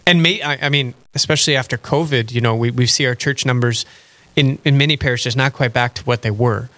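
A man hosting a radio talk show speaks with a bright, ringing tone. He chats in a loose, friendly way and laughs easily at his own jokes. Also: mid-range voice, a little fast. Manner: chatty, self-deprecating, laughs easily. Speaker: radio host. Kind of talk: casual faith radio chat.

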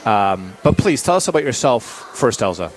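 A man hosting a radio interview from a high school, halting and repeating words as he asks questions. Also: very animated, slight background noise. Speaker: radio host.

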